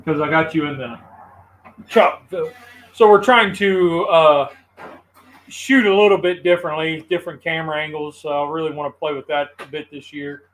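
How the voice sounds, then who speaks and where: A man's voice: a little high, moderate pace, fairly bright tone, a little hiss or hum, low-quality recording. Chatty man hosting a stream. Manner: easygoing and chatty, talks to the chat. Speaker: host of a live cooking stream. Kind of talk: casual live-stream chat.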